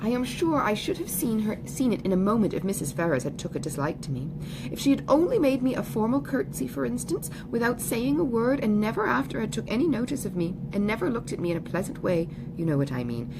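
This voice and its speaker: A woman's voice: fairly high pitch, very animated, fast talker, background noise, voice pushed up. A woman reading an audiobook, voicing a gushing, self-satisfied young woman with falsely sweet, emphatic chatter. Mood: gushing, confiding. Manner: effusive, emphatic, ungrammatical genteel chatter. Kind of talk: audiobook character dialogue.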